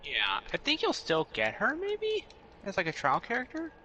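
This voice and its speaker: A man's voice: sits high, wildly swooping pitch, moderate pace, sharp tone. A man chatting casually as co-commentator on a video game stream. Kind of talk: casual chat. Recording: clearly noisy background on stream.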